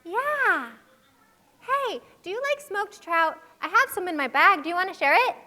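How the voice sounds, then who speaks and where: A woman playing a fearless, eager, friendly little village girl in a children's puppet show, excitable and full of exclamations. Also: extremely high pitch, normal speed, exaggerated voice. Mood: friendly, curious.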